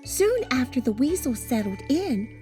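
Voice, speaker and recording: A woman reading a first-person children's story aloud in an expressive, scripted storytelling style, with tinkling music behind her. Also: sits high, moderate pace. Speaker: storybook reader.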